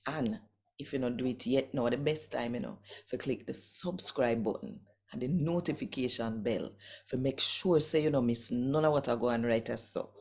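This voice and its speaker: Jamaican lady reading scripture, deep mellow voice, expressive storytelling. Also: moderate pace. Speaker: host and scripture reader. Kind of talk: devotional reading and channel greeting.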